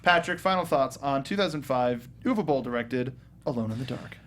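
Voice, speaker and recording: A man's voice: mid-range voice, animated, moderate pace, fairly bright tone. A man hosting and moderating a film review panel in casual, conversational talk.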